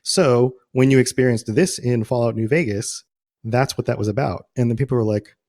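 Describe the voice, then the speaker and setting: Man, medium pitch, podcast host, casual chat, conversational explainer. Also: moderate pace, darkish tone. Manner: conversational, explanatory, quotes imagined reactions. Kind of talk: casual podcast chat about video game lore.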